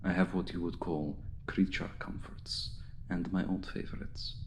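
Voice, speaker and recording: A man playing an ancient, seductive and menacing vampire lord in an ASMR roleplay, speaking in a deliberate, suave, condescending and hypnotic manner, often sinking to a hushed murmur. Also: low voice, flat delivery, a little hiss or hum. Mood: proud, menacing. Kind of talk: ASMR roleplay, intimate dramatic monologue.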